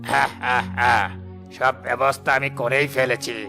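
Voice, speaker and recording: A man's voice: mid-range voice, flat delivery, normal speed, gravelly. A man playing a domineering, calculating village headman and father in a cartoon. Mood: threatening. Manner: commanding, calculating. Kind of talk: cartoon voice acting. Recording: loud background music.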